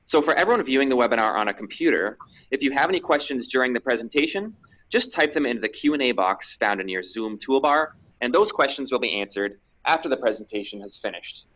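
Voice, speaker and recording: A man's voice: medium pitch, phone-quality sound. A man hosting a webinar, making friendly, orderly introductions from a script. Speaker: webinar host. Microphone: video call.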